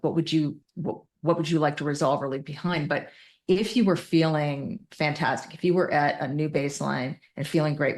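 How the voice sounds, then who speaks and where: A woman speaking as an interview guest, explaining her work as a clinician in an informal, emphatic, think-aloud way. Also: low-pitched. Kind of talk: conversational interview answers explaining clinical practice.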